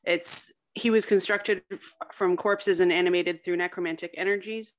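A woman's voice: lowish voice, fairly even intonation, moderate pace. Hesitant woman explaining in an interview. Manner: hesitant, piecing thoughts together. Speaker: guest author discussing own story. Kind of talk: casual interview, explaining a fictional world.

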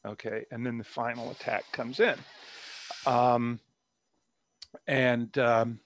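A man's voice: mid-range voice, flat delivery, takes it slowly. A man, an author, chatting casually in an interview over a video call, rambling with many fillers and restarts.

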